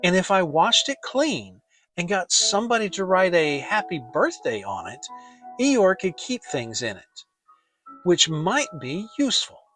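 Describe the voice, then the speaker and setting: A simple, good-hearted toy bear played by a man from a children's storybook. He speaks fairly high, with a melodic swing. He sounds earnest and innocent. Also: big pitch swings, a little slow, pushed and bright, soft background music, heavily acted, poor audio. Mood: worried, thoughtful. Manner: earnest, naive. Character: kindly, simple-minded, honey-loving male toy bear.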